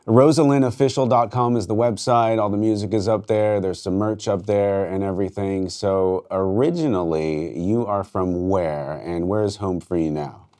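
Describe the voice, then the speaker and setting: A man with a very low voice hosts a casual radio interview from a studio. He asks easygoing questions and leans on filler words. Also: normal speed, few pauses, dark tone. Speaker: radio host.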